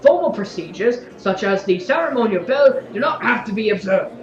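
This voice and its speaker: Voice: mid-range voice, expressive, moderate pace, highs cut off, background noise, natural voice. Echoey, argumentative young revolutionary played by an actor. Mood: pointed, officious. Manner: argumentative, sardonic, tags sentences with "yeah?". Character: fiery, sarcastic young revolutionary council member. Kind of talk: audio drama acting, debate speech.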